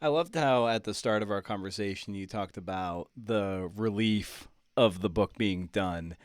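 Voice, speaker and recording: Low-pitched man interviewing casually. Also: a little slow, dry recording, clean studio sound. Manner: rambling, colloquial phrasing. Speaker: podcast host, interviewer. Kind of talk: conversational interviewing.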